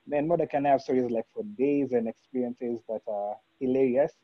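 A man's voice: medium pitch, brisk, no room sound, lo-fi sound. A man from Kenya, a podcast guest, introducing himself playfully.

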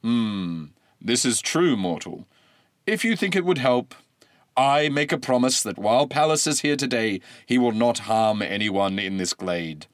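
A man doing a booming wrathful god. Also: animated, measured pace, dry recording, studio quality, natural voice. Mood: imperious. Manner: booming, imperious. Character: wrathful vengeful male god, commanding. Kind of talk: storybook reading.

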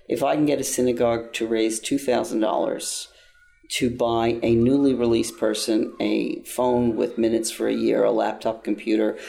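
A man's voice: medium pitch, gravelly, warm tone. An older man giving an interview about his own experience, speaking earnestly with frequent restarts. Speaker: interview guest, nonprofit founder. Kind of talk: interview answers, personal account.

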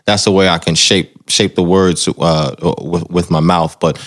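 A man's voice: deep voice, steady tone, a little slow. A man talking casually as an interview guest, explaining and telling stories in an emphatic way, often repeating phrases. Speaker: guest musician, singer and dancer.

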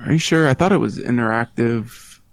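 A man reminiscing casually on a podcast, pausing often with fillers as he searches for words. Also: mid-range voice, no echo. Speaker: podcast guest.

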